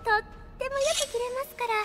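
An actress playing a polite, obsessive teenage little-sister type in an anime drama CD, gentle and chillingly calm in manner. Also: female voice, very high voice, fast talker, loud music behind the voice. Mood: sweetly menacing.

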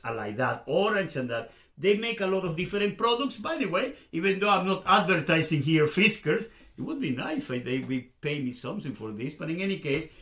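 A man's voice: fairly high pitch, a bit bright. A middle-aged Venezuelan man whose first language is Spanish, speaking English with a Spanish accent as a YouTube host, hesitant with many fillers and restarts, at a desk in a room at home. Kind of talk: casual explainer to camera. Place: home room with shelves, indoors. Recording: roomy echo.

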